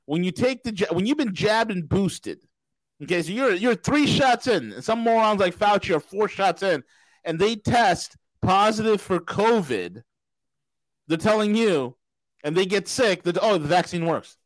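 High-voiced man ranting on a podcast. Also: expressive, measured pace, dry recording, low-quality recording. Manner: loud, profane, mocking rants. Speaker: podcast host. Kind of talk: casual talk show banter.

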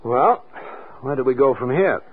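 An actor playing a flustered, evasive swindler feigning innocence in a 1940s radio drama, his voice rough and husky. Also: medium pitch, expressive, moderate pace. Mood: puzzled. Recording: tinny old radio recording.

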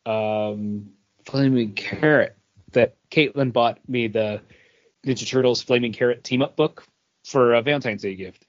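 A man co-hosting a podcast, talking casually and earnestly as a fan, with pauses and emphatic praise. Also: mid-range voice, dull audio. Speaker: podcast co-host. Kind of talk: casual geek-culture panel chat.

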